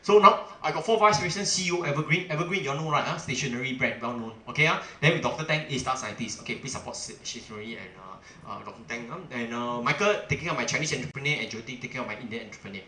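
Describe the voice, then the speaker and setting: A man's voice: medium pitch, animated, rapid-fire, few pauses, bright, forceful tone, roomy sound, slight background noise. A middle-aged man from Singapore with a Singaporean English accent, giving a seminar talk as a chamber president, emphatic and full of "okay" and "ah" fillers, often asking the audience questions.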